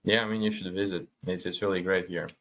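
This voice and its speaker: A man's voice: deep voice, steady tone, fast talker, a bit bright. A man from Latvia, chatting casually on a podcast and often repeating words as he goes. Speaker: podcast participant.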